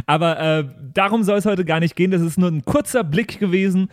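A man's voice: high-pitched, a little fast, no echo, clean studio sound. A man speaking as a podcast host in casual, chatty style.

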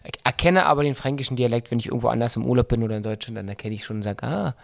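A middle-aged man with a light Berlin accent, a football coach talking in a casual interview, halting with many restarts and fillers. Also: mid-range voice, gravelly. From Berlin-Brandenburg.

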